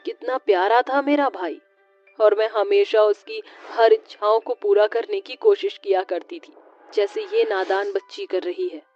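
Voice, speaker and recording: A woman voicing a kind, wistful witch in a cartoon story, gentle and reflective. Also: medium pitch, a little slow, lightly acted. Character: wistful kind-hearted witch longing for her brother. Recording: muffled lo-fi sound with background music.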